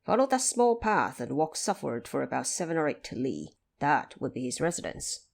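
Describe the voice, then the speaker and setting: A woman's voice: low voice, very animated, normal speed, vocal fry, exaggerated voice. A woman voicing a plain-spoken, busy male woodcutter in an audio drama, with a blunt manner. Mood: helpful. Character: gruff, busy male woodcutter supporting his mother.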